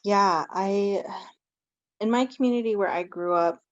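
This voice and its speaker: A woman's voice: medium pitch, measured pace, no room sound, highs cut off. A woman speaking earnestly as a panelist, often restarting with "like" and "um". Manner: earnest, repeating words, frequent "like" and "um".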